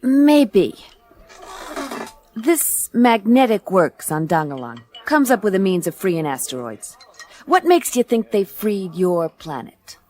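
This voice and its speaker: An actress playing a tough, wisecracking female private eye in a science fiction noir radio play, with a terse, deadpan delivery. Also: medium pitch, slow pace. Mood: cool, wary. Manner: terse, deadpan, hard-boiled. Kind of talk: noir detective radio play, dialogue and narration. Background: clear music bed.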